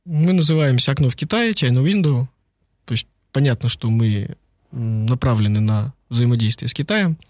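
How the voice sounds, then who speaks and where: A man's voice: mid-range voice, lively intonation, moderate pace, darkish tone, dry recording, clean studio sound. A man, a law firm partner, answering interview questions in a loose conversational way with many fillers. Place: lives and works in Beijing.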